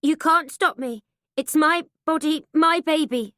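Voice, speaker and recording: Woman doing scared but stubborn young woman, high pitched, slow, dry. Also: sharp tone, no echo. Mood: resolute.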